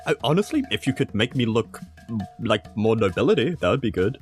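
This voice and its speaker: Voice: medium pitch, big pitch swings, fairly quick, soft background music. A player, chatting casually and jokily during a tabletop role-playing game. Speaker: player in a tabletop RPG podcast. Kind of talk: casual chat.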